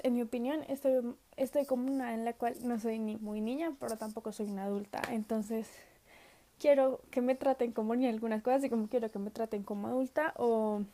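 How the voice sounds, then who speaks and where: Hesitant teenage girl with a mellow voice. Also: on the high side. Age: teens. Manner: hesitant, trails off and laughs often. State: sick. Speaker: student answering questions. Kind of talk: casual spoken video message.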